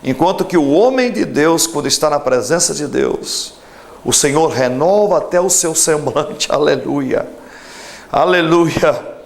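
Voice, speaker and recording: A man in his forties preaches on television in a fervent, emphatic way and leads a prayer for the viewers at home. His voice sits a little high for a man. He stretches out key words for weight. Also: lively intonation, unhurried, gravelly. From Brazil. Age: 40s. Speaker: pastor.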